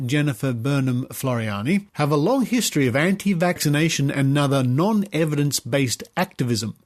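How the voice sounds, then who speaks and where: An Australian man hosting a podcast, reading aloud in a measured, explanatory way with conversational asides. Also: medium pitch. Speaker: podcast host.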